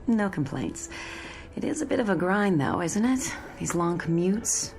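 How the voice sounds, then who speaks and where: An actress playing a friendly, curious and lonely American train commuter in a radio drama, wistful and tentative. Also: female voice, fairly low pitch, very animated, unhurried, creaky, darkish tone, heavily acted. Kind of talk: modern radio drama acting.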